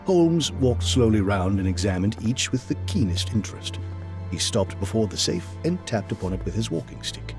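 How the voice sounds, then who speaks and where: An actor playing a proper, polite Victorian gentleman doctor in a period audio drama. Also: fairly low pitch, very animated, moderate pace, music in the background. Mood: measured narration. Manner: polite, indignant, stammering when flustered. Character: proper, easily flustered Victorian gentleman doctor, detective's companion.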